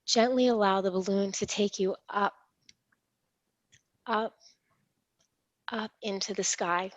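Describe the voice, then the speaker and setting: A woman leading a guided imagery meditation in a soothing, unhurried, reassuring manner. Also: mid-range voice, steady tone, no room sound, muffled recording. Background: gentle instrumental music.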